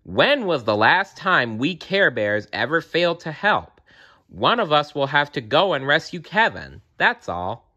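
A man's voice: mid-range voice, very animated, measured pace, pushed and bright, muffled recording, pitched above the performer's own voice, exaggerated voice. A man voicing a grumpy but caring little blue bear in a children's story, grumbling and growling. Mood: grumpy, defiant.

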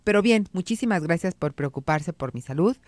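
A middle-aged Mexican woman hosting an advice radio show from a studio, calm and reassuring, with a head cold. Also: on the low side, brisk, no room sound. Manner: calm, reassuring, reads listener messages aloud. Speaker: radio psychologist host. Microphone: studio mic on boom arm.

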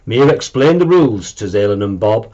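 A man around his forties narrating a children's story in an animated, deliberate way. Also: on the low side, muffled recording. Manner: expressive, deliberate. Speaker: storybook reader. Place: small room at home, indoors.

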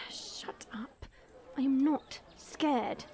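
A woman's voice: high voice, drawn-out words, slight background noise, full character voice. A woman playing a proud, flustered young dragon princess with haughty, formal denials in an audio drama. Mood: sulky.

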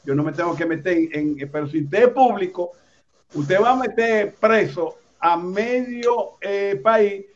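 Dominican man, high voice, fiery rallying street speech outdoors, dull lo-fi sound. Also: measured pace. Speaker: activist host at a street protest. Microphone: handheld microphone.

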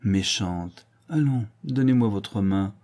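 A man voicing a proud, brooding young gentleman in a read-aloud novel, ironic in tone. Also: lowish voice, slow.

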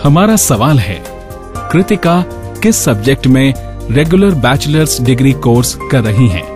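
A man's voice: mid-range voice, sing-song, unhurried, a little mellow, soft background music. A man hosting a radio show, reading scripted narration and announcements.

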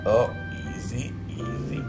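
A man's voice: mid-range voice, fairly even intonation, takes it slowly. A man in his thirties trading crude jokes on a couch while playing a video game with friends. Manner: crude, joking, laughing. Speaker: let's play co-host. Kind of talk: casual gameplay banter. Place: living room, on a couch. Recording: game music playing underneath.

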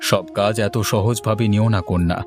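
A man acts out a cartoon king, a father who is anxious yet commanding. His delivery is dramatic and emphatic, in a fairly low voice. Faint music plays underneath. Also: steady tone, moderate pace, lightly acted. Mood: stern. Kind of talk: Bengali fairy-tale cartoon dub acting.

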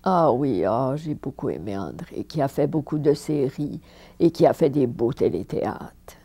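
A woman's voice: very deep voice, moderate pace. An older woman from France with a Parisian accent, an actress recalling her career in a seated interview, talking emphatically and repeating words for stress. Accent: Parisian French. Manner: animated, emphatic repetitions, frequent laughs. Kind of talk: reminiscing interview. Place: living room with fireplace, indoors.

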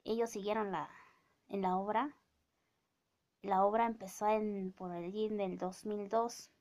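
A woman's voice: mid-range voice, fairly even intonation, a little mellow. A woman in her thirties, a native Mazatec speaker with a Mazatec-accented Spanish, scolding in a casual rant to a phone camera in a small room. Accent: Mazatec-accented Mexican Spanish. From Oaxaca, Mexico. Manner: indignant, scolding, drawn-out speech with many fillers. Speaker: vlogger. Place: small indoor room with bookshelves. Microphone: phone camera at arm's length.

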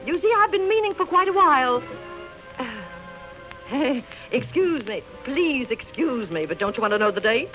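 A woman's voice: high voice, big pitch swings, a little slow, soft background music. A woman playing a fussy, snobbish society mother in a 1930s radio drama, fluttery in manner. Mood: gushing, polite. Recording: dull, noisy old radio recording.

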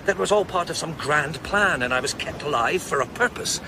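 A resolute, protective former policeman in a radio drama. His voice sits a little high and he persuades earnestly, in halting phrases. There is clear outdoor noise behind him. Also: expressive. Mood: confessional, earnest. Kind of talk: radio play acting.

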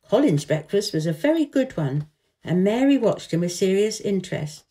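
Mellow-voiced woman reading a story. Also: medium pitch, very animated, normal speed. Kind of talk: expressive children's audiobook narration.

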